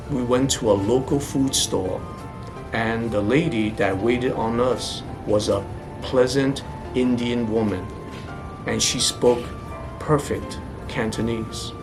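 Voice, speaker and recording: A man from the United States giving a measured, informative travel narration as a voice-over. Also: medium pitch. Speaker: travel vlogger. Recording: narration over clear background music.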